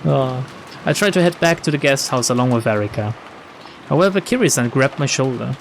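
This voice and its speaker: A man's voice: medium pitch, expressive, a little fast, vocal fry, a little hiss or hum, low-quality recording. A man reading visual novel text aloud as a let's-play narrator, dropping wry, sarcastic and sweary commentary.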